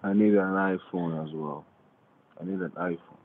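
A man's voice: low voice, fairly even intonation, normal speed, phone-quality sound, a little hiss or hum. A man calling as a scammer, insistently pressing for phones and purchases. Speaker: scam caller.

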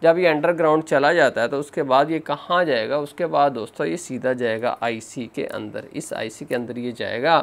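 A man gives a hands-on tutorial in a gravelly, creaky voice. His pitch sits in the middle range. He explains each step in order and often addresses the viewers as friends. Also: normal speed, few pauses. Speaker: phone repair instructor.

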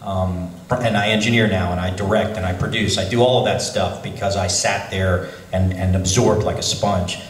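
A man speaking in a chatty, animated way at a convention panel. His voice sits on the low side and is picked up from a distance. The hall adds heavy echo and faint background noise. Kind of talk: casual panel talk. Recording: echoey hall, voice from far.

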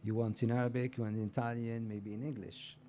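A man's voice: mid-range voice, little pitch movement, a little fast, mellow, slight background noise. A middle-aged man speaking on a writers' panel with a handheld mic, hesitant and repeating words. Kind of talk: panel talk.

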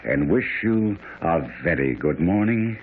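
An actor playing a reserved gentleman detective, speaking formally and politely in a 1970s radio drama. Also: low voice, lively intonation, unhurried. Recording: dull radio recording, faint noise.

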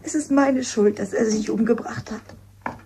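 A woman's voice: a little high, steady tone, measured pace, background noise. An actress playing a guilt-ridden, calculating village woman who confides hesitantly in a radio crime drama. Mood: guilty, hesitant.

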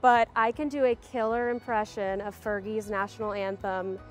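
A woman's voice: mid-range voice, flat delivery, moderate pace. A young woman graduate student in a casual interview in an outdoor garden, bubbly and self-mocking, on a lapel mic. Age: young adult. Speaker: homecoming court nominee.

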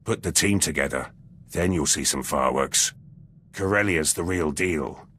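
An actor playing a blunt, battle-hungry special forces soldier in a video game, gruff and husky, speaking in clipped, terse sentences. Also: male voice, very deep voice, moderate pace, fairly bright tone, a little hiss or hum. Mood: eager, determined. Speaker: soldier companion character. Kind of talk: video game dialogue acting. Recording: music under the voice.

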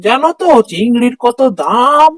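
Guy doing a cheapskate old village man for a cartoon, way high voice, grumbly haggler, dry close sound. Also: animated, a little slow, fairly bright tone, no room sound, exaggerated voice. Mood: reluctant. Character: stingy, crafty old village father-in-law. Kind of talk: Bengali cartoon fairy-tale dialogue.

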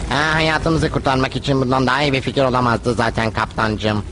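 A man's voice: medium pitch, fairly even intonation, brisk, background noise, lightly acted. Man as meek cartoon pirate sidekick. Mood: deferential. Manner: meek, deferential. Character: deferential, fretful pirate sidekick, gullible yet dryly loyal man. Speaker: cartoon voice actor. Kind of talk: animated cartoon dub acting.

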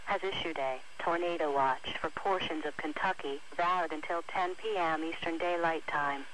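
A woman's voice: lowish voice, a bit bright. A synthetic woman's voice reading a scripted weather bulletin as an automated announcer over the radio. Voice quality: synthetic text-to-speech voice. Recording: noisy weather radio broadcast recording.

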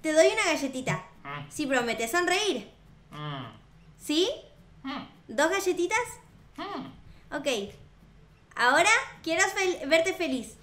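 A young woman with a Rioplatense Spanish accent, hosting a gaming video and improvising roleplay in an expressive, sweet, childlike way. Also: very high-pitched, slow pace, long pauses. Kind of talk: improvised in-character gameplay roleplay. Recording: roomy echo, music behind. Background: background music.

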